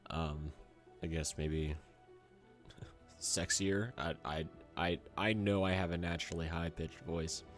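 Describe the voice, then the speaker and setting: A young man with a low, rough voice, hoarse from a cold. He chats loosely through his gameplay commentary, full of ums and uhs, into a desk mic. Faint music plays under his talk. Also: steady tone, slow. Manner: rambling, filler-heavy and chatty. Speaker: gaming channel host.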